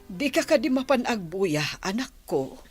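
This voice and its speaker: A middle-aged woman with a Filipino accent playing a patient village mother who gives gentle moral advice in a radio drama. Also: fairly high pitch, very animated, unhurried, breathy edge, dry recording, soft background music, exaggerated voice. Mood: gently reproachful.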